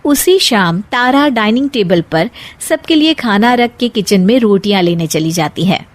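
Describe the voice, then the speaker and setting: A woman's voice: medium pitch, lively intonation, measured pace. A woman narrating a scripted comic family story as a storyteller.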